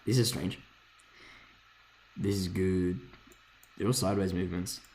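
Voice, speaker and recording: A man with a hoarse voice casually thinking aloud while reviewing trading charts, rambling, swearing freely and correcting himself. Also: low voice, fairly even intonation, a little slow, a little mellow. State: hoarse, lost voice from screaming. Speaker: forex trader reviewing charts.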